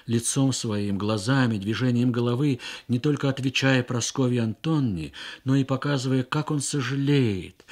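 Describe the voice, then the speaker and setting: A man narrating a literary story for radio in a measured, expressive manner with deliberate pauses. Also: mid-range voice.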